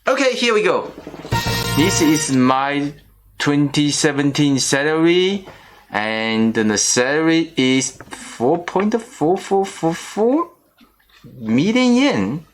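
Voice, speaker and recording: Middle-aged guy w chinese accent, kinda high voice, talks real slow n careful. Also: very animated, soft background music. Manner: slow and careful, with restarts on small words. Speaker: channel host. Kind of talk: personal vlog monologue.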